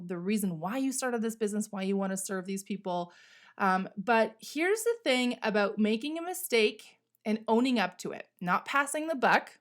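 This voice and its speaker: A woman with a medium-pitched voice talks casually as a podcast host on her own. She is candid and emphatic, chatting conversationally and not shy of salty language. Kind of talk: casual solo podcast talk.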